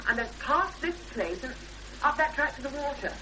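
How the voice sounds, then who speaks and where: A woman's voice: high-pitched, animated, moderate pace, bright, forceful tone. An actress playing an earnest, uneasy young English woman in a 1970s British radio drama, speaking with hesitant intensity. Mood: subdued. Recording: noisy, humming 1970s radio drama recording.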